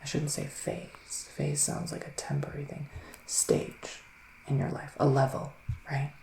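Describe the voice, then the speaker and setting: A man playing a gentle psychic witch in an ASMR roleplay, speaking in a soft, musing whisper with long pauses. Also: on the high side, big pitch swings, vocal fry, echoey room, full character voice, poor audio. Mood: hushed, musing. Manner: slow, hushed, musing, with drawn-out pauses. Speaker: ASMR performer giving a psychic reading.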